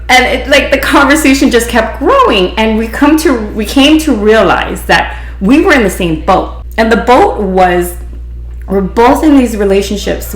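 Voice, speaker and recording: Hawaii woman w/ pidgin accent chatting away on her podcast, bit of vocal fry, roomy echo. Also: mid-range voice. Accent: Hawaiian Pidgin-influenced. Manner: chatty, rambling, frequent restarts and "you know". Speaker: podcast host, beautician. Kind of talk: casual storytelling.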